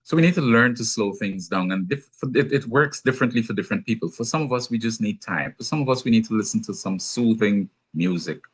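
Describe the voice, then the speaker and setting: A man with a Guyanese accent, giving a measured, explanatory teaching talk with touches of gentle humor. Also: lowish voice, expressive, husky. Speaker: speaker on anger management.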